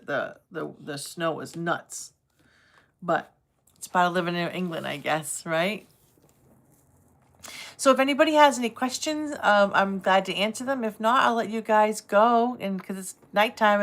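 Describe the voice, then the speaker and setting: A woman with a Boston accent, from south of Boston, chatting casually and rambling a little as she greets viewers on a live stream. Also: medium pitch. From Massachusetts, south of Boston. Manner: chatty, rambling, friendly, laughs easily. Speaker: livestream host.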